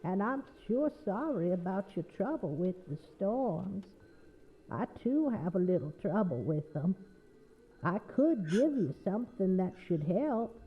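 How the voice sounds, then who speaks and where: A woman's voice: medium pitch, sing-song, unhurried. An actress playing a folksy, sweetly insistent neighbor woman with a creepy undertone in an audio horror drama. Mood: coaxing. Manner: syrupy, coaxing, folksy. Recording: dull muffled sound with faint noise.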